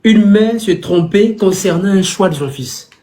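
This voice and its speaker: A man's voice: sits high, animated, measured pace, dark tone. A man talking earnestly as an interview guest, often repeating phrases to stress his points. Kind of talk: interview over a call.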